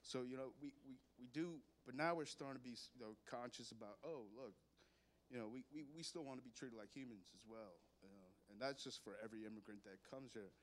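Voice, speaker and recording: Man, low voice, halting, fillers and repeats, faint background noise. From immigrant to the United States. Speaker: audience member in a discussion. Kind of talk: casual spoken opinion.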